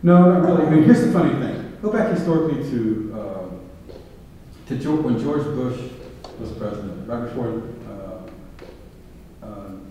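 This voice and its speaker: A man's voice: mid-range voice, lively intonation, a little slow, noisy background. Man lecturing at a podium in a hall. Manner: thoughtful, many fillers and restarts. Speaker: guest speaker. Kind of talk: public talk with audience questions. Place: large hall with round tables. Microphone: podium mic, filmed from far back. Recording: echoey hall from far off.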